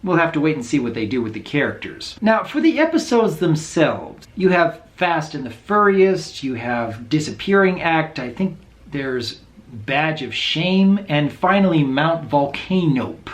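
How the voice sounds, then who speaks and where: A reviewer giving casual, wry commentary on animated episodes. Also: medium pitch, unhurried.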